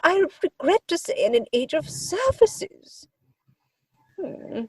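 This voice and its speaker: A woman playing an imperious older aristocratic English aunt in a comic stage-play reading, with pompous, deliberate delivery. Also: sits high, no echo.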